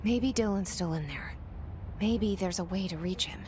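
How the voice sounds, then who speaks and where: An actress playing a guarded game heroine's inner voice, dry, muttered and sardonic. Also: female voice, medium pitch, normal speed, noisy background, close to an everyday voice. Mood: wry, wary. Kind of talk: internal monologue in a video game.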